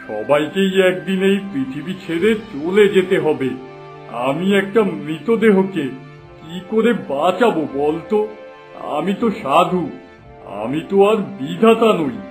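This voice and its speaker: A man's voice: on the high side, lively intonation, a little slow, soft background music. A man playing a philosophical, resigned holy hermit who speaks solemnly in a cartoon. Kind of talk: cartoon melodrama dialogue.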